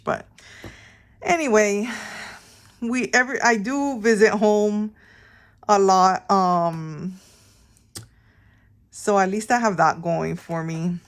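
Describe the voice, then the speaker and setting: A woman's voice: lowish voice, fairly even intonation, slow, pauses often. A middle-aged woman from Miami chatting casually to her viewers in a rambling, informal way, often interrupting herself. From Miami, Florida, United States. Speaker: vlog host. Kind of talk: casual chatty personal storytelling.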